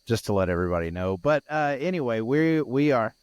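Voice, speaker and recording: A man's voice: medium pitch, expressive, a little fast, clean tone, no room sound. A tired man co-hosting a podcast in casual chat, with a groggy, scratchy voice, rambling and restarting his sentences. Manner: rambling, with frequent restarts and fillers. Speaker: podcast co-host.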